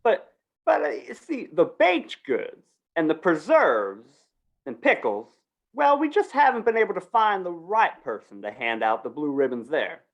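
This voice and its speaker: An actor playing a brisk, insistent small-town man organizing a fair in a radio western, folksy and persuasive. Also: mid-range voice, huge pitch swings, highs cut off, exaggerated voice. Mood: coaxing. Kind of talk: old-time radio western acting.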